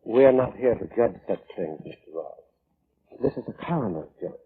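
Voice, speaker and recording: On a tinny vintage radio recording, a formal coroner at an inquest. His husky voice is measured and polite, with dry irony under the courtesy, in classic radio drama style. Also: lowish voice, animated, exaggerated voice. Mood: formal, stern. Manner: formal, measured, drily ironic. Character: dry, formal, sardonic male coroner questioning a witness. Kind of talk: 1940s radio drama acting.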